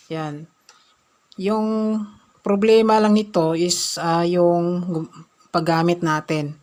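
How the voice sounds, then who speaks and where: Low-pitched woman giving a tutorial. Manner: halting, repeats short filler phrases between steps. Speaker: repair tutorial presenter. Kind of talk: informal hands-on tutorial.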